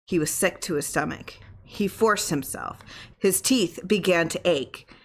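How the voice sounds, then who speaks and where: A woman's voice: on the low side, a little slow. A woman reading a horror short story aloud as scripted audiobook narration. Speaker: audiobook reader.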